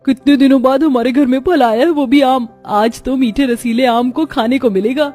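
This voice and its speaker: A woman's voice: high-pitched, moderate pace, warm tone, faint music behind, pitched above the performer's own voice. A woman voicing resourceful, cheerful young village daughters-in-law in a storybook tale, homely and eager. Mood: delighted.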